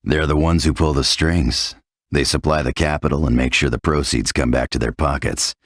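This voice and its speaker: An actor playing a cynical, hard-bitten undercover tough guy in a video game, gravelly and husky, with a blunt, sardonic delivery. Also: very deep voice, steady tone, moderate pace, no echo, clean studio sound. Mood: dry, cynical. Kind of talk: video game cutscene acting.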